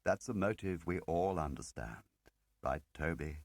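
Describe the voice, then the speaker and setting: A man reading the part of an ageing spymaster in an audiobook, deliberate and probing, pausing between phrases and pressing with questions. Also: rumbling low, lively intonation, no echo, full character voice. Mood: measured, explaining. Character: ageing male spymaster, measured, probing, quietly relentless. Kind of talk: dramatic audiobook character reading.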